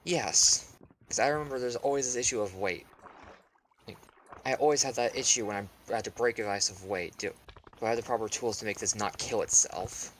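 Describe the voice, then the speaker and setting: Deadpan guy with a lower voice griping about the game, bit of faint hiss. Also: a little fast, low-quality recording. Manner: dry, grumbling, deadpan complaints. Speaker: streamer co-host. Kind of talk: casual gaming chat.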